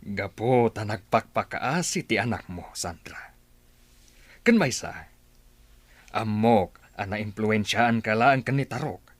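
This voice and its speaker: Husky commanding chieftain, male actor, very animated intonation, radio drama, dry recording. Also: lowish voice, slow, pauses often, dry recording. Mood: calm, magnanimous. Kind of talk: Filipino radio drama acting.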